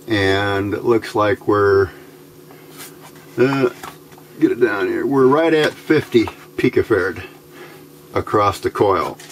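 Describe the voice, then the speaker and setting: A middle-aged man giving a casual hands-on tutorial, speaking in an unhurried, halting way as he thinks aloud. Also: fairly low pitch, slight background noise. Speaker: electronics hobbyist host. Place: indoor workbench, hands filmed from overhead.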